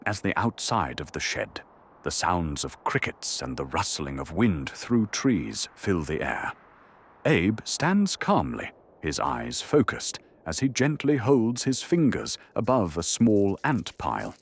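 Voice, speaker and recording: A man with a husky voice reading dramatic scripted narration for an audio drama. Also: on the low side, very animated, slow pace.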